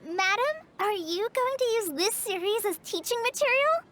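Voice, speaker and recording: A woman's voice: very high-pitched, expressive, moderate pace, fairly bright tone. A woman voicing a timid, polite young student in an anime video game, hesitant and nervous.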